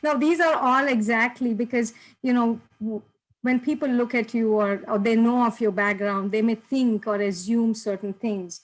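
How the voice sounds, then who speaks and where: A woman's voice: fairly high pitch, fairly even intonation, normal speed, poor audio. A woman from India leading an online workshop, talking to participants in an encouraging way and laughing often over a video call. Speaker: workshop presenter.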